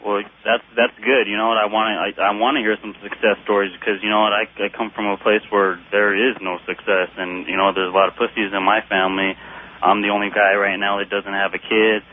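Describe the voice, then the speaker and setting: Halting young man calling in, muffled and noisy. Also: on the low side, level tone. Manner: halting, repetitive. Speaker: caller to a radio show. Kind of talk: casual chat. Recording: dull, noisy call-in line on radio.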